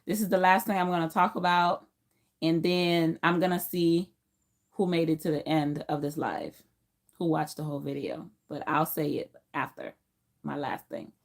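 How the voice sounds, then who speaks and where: Female livestream host, circa thirty, Haitian-born second-language English speaker, slightly low register, informal and emphatic personal storyteller. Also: moderate pace, gravelly. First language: not English, learned it as a teenager. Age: late 20s to early 30s. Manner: informal, emphatic, repeats phrases for emphasis, acts out quotes. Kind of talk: casual personal storytelling on a live stream.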